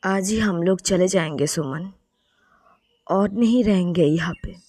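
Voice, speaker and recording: A woman's voice: fairly low pitch, unhurried, dark tone, dry recording, studio quality. A woman playing a distraught, tearful young wife in a horror cartoon story. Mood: tearful, pleading.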